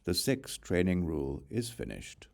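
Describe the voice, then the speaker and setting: A man narrating a religious text as an audiobook in a measured, formal, deliberate manner. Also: low-pitched, wildly swooping pitch, gravelly.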